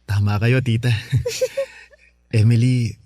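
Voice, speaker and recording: Very slow-spoken, shy young suitor, acted by a man. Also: medium pitch, level tone, mellow, no room sound, studio quality, natural voice. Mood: nervous tenderness. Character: shy, loving young suitor proposing marriage. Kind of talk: Filipino radio drama acting.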